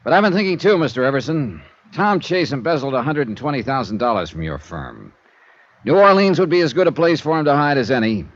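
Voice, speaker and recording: A man's voice: medium pitch, sing-song. An actor playing a hard-boiled male insurance investigator in a 1950s radio drama, dry and wisecracking. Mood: wry. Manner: dry, wisecracking, brisk clipped delivery. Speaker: narrator and lead character. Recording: dull old radio transcription with hiss.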